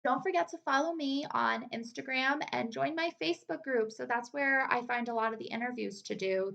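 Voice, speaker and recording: A woman's voice: fairly high pitch, vocal fry, fairly bright tone. A woman hosting a podcast in an enthusiastic, informal, chatty way. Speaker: podcast host. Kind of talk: casual chat.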